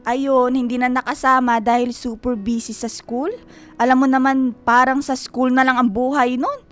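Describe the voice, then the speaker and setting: A woman's voice: high-pitched, flat delivery, moderate pace, natural voice. An actress playing a doting, teasing middle-aged godmother in a Filipino radio drama, affectionate and exclaiming. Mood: teasing, proud.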